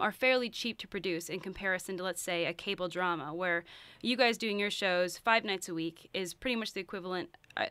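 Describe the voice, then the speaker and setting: A woman's voice: medium pitch, a bit bright, clean tone, no echo. An American woman hosting a conversational radio interview, asking long, meandering questions.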